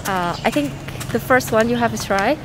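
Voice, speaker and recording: A young woman student gives casual recommendations in a halting way, searching for her words. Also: a little high, lively intonation, over music. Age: young adult. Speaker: university student, interviewee. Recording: outdoor street noise with traffic.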